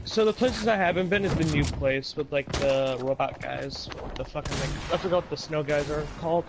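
Higher-voiced man over loud game noise. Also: a little fast. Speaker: gamer. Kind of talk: casual game voice chat. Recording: very noisy, loud game gunfire. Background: loud game gunfire.

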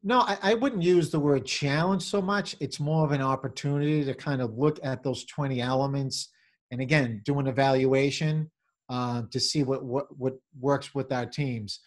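A middle-aged man answering interview questions on a video call as a venue manager, in halting corporate phrasing with repeated words. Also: medium pitch. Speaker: convention center general manager, interview guest. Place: small office, indoors. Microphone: computer or webcam on video call.

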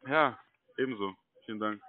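A man's voice: on the low side, normal speed. A man working as a call-centre caller, chatting casually in an easygoing, hesitant way. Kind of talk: casual phone chat. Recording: narrow thin phone line.

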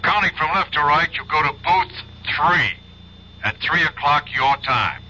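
An actor playing a brisk, authoritative chief of detectives in a 1970s radio drama. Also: male voice, fairly high pitch, lively intonation, bright, forceful tone, exaggerated voice. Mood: instructing, cautioning. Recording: dull, faintly hissy 1970s radio recording.

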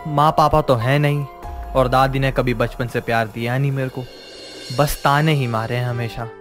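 An emotional young younger brother, voiced by a man, talks in a plaintive, everyday way with a mid-pitched voice. It is cartoon drama with music underneath. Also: steady tone, moderate pace, natural voice. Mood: hurt, sulky. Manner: plaintive, colloquial. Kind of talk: animated story dialogue.

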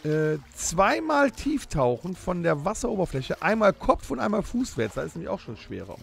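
Eager gravelly man reading out rules. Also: sits high, big pitch swings, moderate pace. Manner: reads out rules with eager, insistent emphasis. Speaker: podcast co-host. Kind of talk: comedic improvised audio play.

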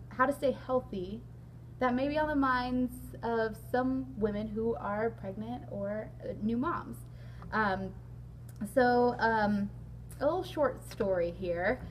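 A young woman with a hoarse, raspy voice from losing it, chatting casually as host of a sit-down interview vlog with lots of ums. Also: fairly high pitch, a little hiss or hum, rough recording. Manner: chatty, frequent ums, laughs along. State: hoarse, lost voice. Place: large indoor hall.

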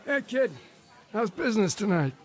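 An actor playing a tough, chummy barroom bouncer with slangy talk in a radio drama. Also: male voice, sits high, sing-song, moderate pace. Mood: breezy, chummy.

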